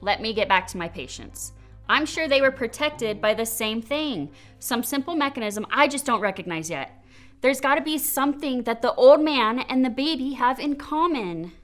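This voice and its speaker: Radio play doctor, female actor: insistent, impatient, higher-pitched. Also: expressive, moderate pace, faint music behind. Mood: determined. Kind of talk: radio drama acting.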